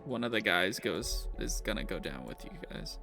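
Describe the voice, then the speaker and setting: Creaky-voiced man running a tabletop game. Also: sits low, big pitch swings, moderate pace, bright, forceful tone, soft background music. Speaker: game master. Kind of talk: improvised tabletop game narration.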